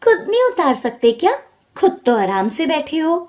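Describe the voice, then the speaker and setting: A greedy, scornful village woman from a storybook, played by a female narrator. Her voice is very high and shrill. She nags and dramatizes everything as she schemes. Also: sing-song, moderate pace, a little mellow. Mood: scornful, irritated.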